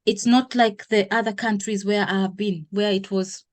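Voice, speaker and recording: A woman's voice: medium pitch, steady tone, normal speed. Kenyan woman in her 30s on a podcast, long answers, lots of um and restarts. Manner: hesitant, self-correcting, explains at length. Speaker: guest. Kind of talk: interview conversation.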